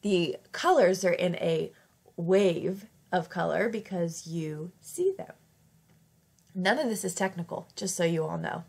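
A woman with a slight cold talks through her craft project in a chatty, easygoing voice-over. Her voice has a gravelly, creaky edge, and she often corrects herself mid-sentence. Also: lowish voice, animated, unhurried, a little mellow. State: fighting off a cold. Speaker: craft channel host. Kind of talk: casual craft tutorial voice-over.

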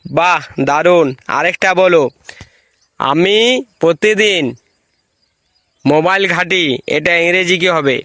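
A man's voice: high voice, slow pace, pauses often, a bit bright, dry recording. A man voicing an easily amazed, effusive headmaster in a comedy cartoon, exclaiming and repeating himself in a rural West Bengal accent. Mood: pleased, quizzing. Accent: rural West Bengal (Bankura). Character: gushing, easily amazed visiting male headmaster. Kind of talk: comic cartoon voice acting.

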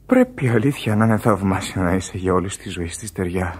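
Weak dying sailor, low and wistful. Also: male voice, animated, husky. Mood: wistful, bitter. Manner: halting, drifting, breaking into whispers. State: weak, in pain. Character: dying sailor, wistful, weary, feverish, full of regret. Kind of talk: Greek radio drama acting. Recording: radio play with music underneath. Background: music.

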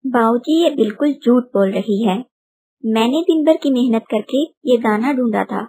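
Woman doing a sneaky argumentative girl bird in a kids cartoon, high voice, indignant and accusing. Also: moderate pace, warm tone, husky. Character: lying, quarrelsome, cunning female bird.

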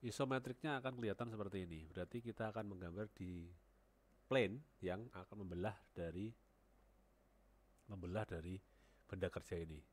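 A man's voice: low voice, normal speed, pauses often. A man with a cold talking through a CAD modeling tutorial on a headset, thinking aloud and repeating numbers as he types them. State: has a cold. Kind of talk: screen-recorded software tutorial.

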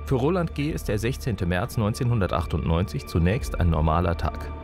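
A man narrating a scripted true-crime TV report in a dramatic, clearly articulated way. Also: sits low, very animated, fairly quick. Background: prominent music.

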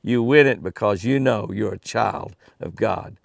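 A man's voice: fairly low pitch, unhurried, vocal fry, no room sound. An older man hosting a daily devotional and fishing tip from outdoors by a lake, in a folksy, earnest way and repeating his key phrases for emphasis. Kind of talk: devotional reading and folksy preaching. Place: outdoors by a lake next to a cabin. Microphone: camera close in front, face framed.

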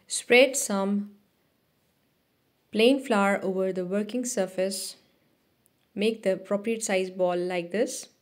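A woman narrating a cooking video as a voice-over, giving steady step-by-step instructions with small restarts. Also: mid-range voice, takes it slowly, long pauses. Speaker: cooking channel host.

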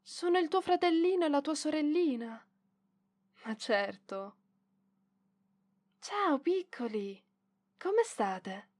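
Proud, flustered young librarian, acted by a woman, slow-paced. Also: sits high, expressive, darkish tone. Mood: awkward, kind. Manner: hesitant stammering, defensive, bashful. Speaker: voice actress. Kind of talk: ASMR roleplay voice acting.

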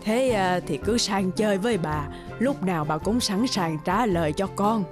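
A woman's voice: mid-range voice, expressive, moderate pace, over music, lightly acted. An actress playing a kind, doting old neighbour woman in a cartoon, with an affectionate, fondly chiding manner. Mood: kindly. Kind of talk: cartoon voice acting.

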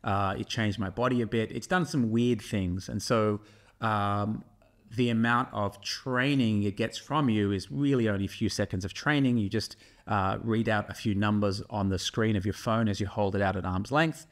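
A middle-aged man speaks to the camera in a studio, his voice sitting a little low. He explains things thoughtfully and keeps turning to ask his viewers what they think. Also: steady tone, moderate pace. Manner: thoughtful, asks viewers questions directly. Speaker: host and neuroscience professor. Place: studio in front of a brick wall.

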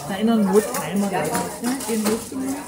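A woman's voice: mid-range voice, a little fast. A woman chatting casually as a shopper packing groceries. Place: large supermarket. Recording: distant, echoey, very noisy supermarket.

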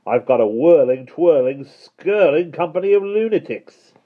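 A man acts out a bewildered working postman in a storybook tale, blustering and indignant. His voice is raised and jumps around in pitch. The recording is thin and narrow, like a phone line. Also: lively intonation, normal speed, breathy edge. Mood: baffled, indignant. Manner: blustering, exclamatory. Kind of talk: children's storybook character voice.

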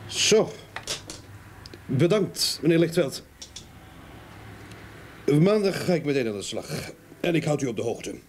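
A businesslike private eye in an old radio play, lively up n down pitch, bit of noise. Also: male voice, measured pace, long pauses. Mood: brisk. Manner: inquisitive, businesslike. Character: probing, methodical male private detective, matter-of-fact. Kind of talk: Dutch radio play acting.

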